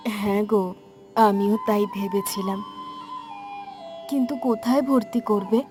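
A woman playing a protective, sensible village mother in a cartoon, gently insistent. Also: mid-range voice, steady tone, measured pace, over music, natural voice. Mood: concerned.

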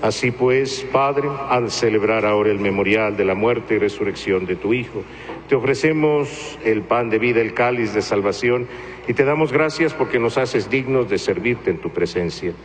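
Solemn priest in a reverberant church. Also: male voice, lowish voice. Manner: measured, solemn recitation, partly chanted. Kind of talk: liturgical prayer at Mass. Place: large church. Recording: noisy, long church reverb.

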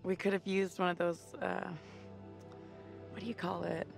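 A woman's voice: fairly low pitch, level tone, moderate pace, creaky, soft background music. An actress playing a tough, weary young woman in a horror game, dry, slangy and sweary. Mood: wry, weary. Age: young adult. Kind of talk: video game cutscene drama.

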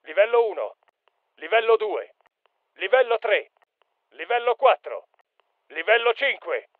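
Urgent high-pitched soldier, muffled sound. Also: male voice, sing-song, measured pace, dry recording. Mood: firm, alert. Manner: clipped, emphatic military delivery. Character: urgent male special forces soldier calling out battlefield alerts. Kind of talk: video game combat callouts.

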